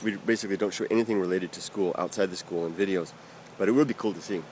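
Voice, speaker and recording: A man from the United States rambling through viewer comments in a casual vlog, halting with many fillers and restarts. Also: low-pitched, a little mellow, a little hiss or hum. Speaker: vlogger answering viewer comments.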